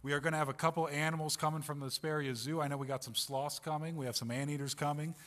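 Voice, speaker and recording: A man playing a swaggering Australian adventurer with a pet koala, in a put-on Australian accent, pitching an event to a church crowd. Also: steady tone, fast, fairly bright tone, lightly acted. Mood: upbeat. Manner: hearty, upbeat, pitching to kids. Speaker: Vacation Bible School promoter. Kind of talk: costumed church announcement.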